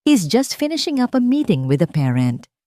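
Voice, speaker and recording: A woman's voice: a little high, sing-song, moderate pace, warm tone, no room sound, studio quality, voice pushed up. A woman voicing a cool, businesslike school secretary in an audiobook story. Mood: cool, formal. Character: cold-voiced, formal school secretary.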